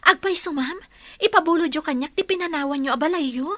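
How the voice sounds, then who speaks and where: An actress plays a humble young mother in a radio drama. Her voice is bright and fairly high, earnest and deferential, recorded dry and close. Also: fast, no echo. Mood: grateful. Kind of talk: Ilocano radio drama acting.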